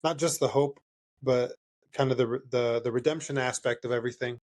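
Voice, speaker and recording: A man's voice: mid-range voice, steady tone, a little fast, a little mellow. A man from California whose first language is Spanish, a band member answering interview questions in a loose, rambling way with frequent laughs and restarts. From California, USA. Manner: rambling, self-correcting, laughs often.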